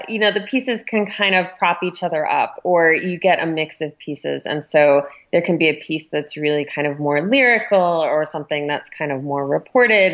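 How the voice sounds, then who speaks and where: A woman's voice: deep voice, a bit bright, highs cut off. A woman speaking as a magazine editor in a conversational interview, explaining things thoughtfully.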